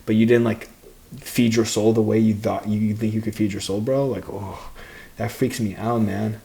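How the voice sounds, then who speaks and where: A man's voice: lowish voice, fairly even intonation, normal speed, vocal fry, warm tone. A young man chatting casually on a podcast as a co-host and fighter, laid-back and rambling, full of slang and swearing. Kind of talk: casual chat.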